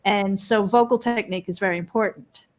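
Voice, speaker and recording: A woman with a mid-range voice asks a guest questions in a friendly way. She hesitates a little as she gets going. She is a co-host in a relaxed chat. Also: mid-range voice, brisk. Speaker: podcast co-host. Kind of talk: casual interview chat.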